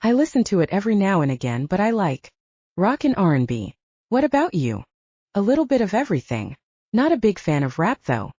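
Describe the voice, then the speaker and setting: Woman voicing an easygoing young male werewolf, wide-swinging pitch. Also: big pitch swings, brisk, dark tone, breathy edge, no room sound, highs cut off. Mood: playful.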